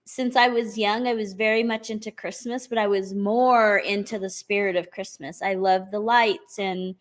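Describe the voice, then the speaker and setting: This is a woman in her forties co-hosting a relaxed podcast. She talks calmly and sincerely and keeps her replies short, in an average-pitched voice. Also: normal speed. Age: 40s. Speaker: podcast co-host. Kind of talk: casual chat.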